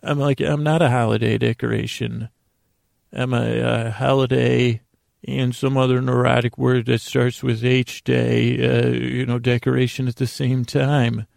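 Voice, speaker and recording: A man hosting a bedtime podcast, rambling in a gravelly, creaky voice with halting, stammered repetitions and a self-deprecating manner. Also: mid-range voice, level tone, darkish tone, no room sound, studio quality. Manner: meandering, halting, stammering with repeated words. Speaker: podcast host.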